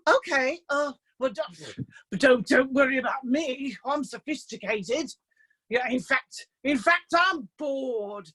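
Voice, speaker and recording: An actress performing a monologue as a chatty, dryly amused life-drawing model who confides in the listener with hesitant, conversational asides. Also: female voice, a little high, a bit bright, full character voice. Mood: dry, defiant. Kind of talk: theatrical monologue in a staged reading. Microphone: video call.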